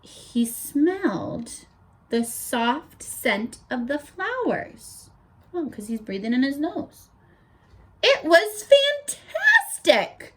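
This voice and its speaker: Lively woman teacher reading aloud to kids. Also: high-pitched, extremely slow. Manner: animated, asks children questions. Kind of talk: reading a picture book aloud to children.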